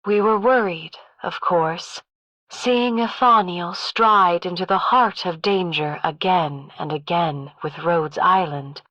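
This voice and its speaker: A woman's voice: on the low side, slow pace, a bit bright, dry recording, dull audio. A woman voicing a dignified, proud, solemn matron of an ancient people in a video game, stately and formal. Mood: solemn pride. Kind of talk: game character voice acting.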